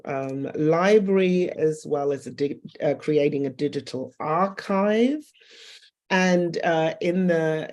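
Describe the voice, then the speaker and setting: Low, mellow-voiced woman presenting. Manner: hesitant, many fillers. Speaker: panel presenter. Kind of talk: online panel presentation.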